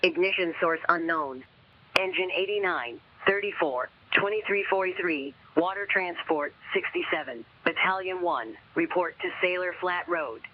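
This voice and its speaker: A man playing an official fire dispatcher, reading out a flat call-out through a radio filter. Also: high-pitched, normal speed. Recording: muffled, hissy radio.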